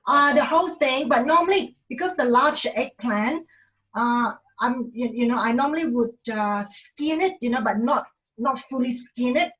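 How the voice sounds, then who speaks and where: A woman from Malaysia, giving a casual cooking demonstration in a halting way, full of fillers and restarts. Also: sits high, a bit bright, lo-fi sound.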